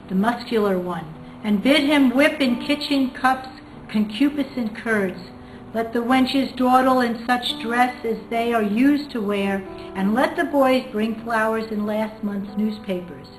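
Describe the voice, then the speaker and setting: A woman English teacher reading and explaining literature informally, in a thoughtful way. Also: a little high. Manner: thoughtful, hesitant, pauses often to find words. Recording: echoey room with music behind.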